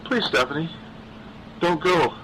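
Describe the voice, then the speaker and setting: High-pitched, pleading lovesick zombie. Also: male voice, unhurried, a bit bright, a little hiss or hum, low-quality recording. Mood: begging. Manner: stammering, pleading. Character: lovesick undead young man, pleading and clingy.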